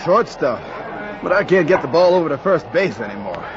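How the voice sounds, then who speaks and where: An actor playing an earnest, determined young ballplayer in a 1940s radio drama. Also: male voice, a little high, very animated, fairly quick. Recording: noisy, muffled 1940s radio recording.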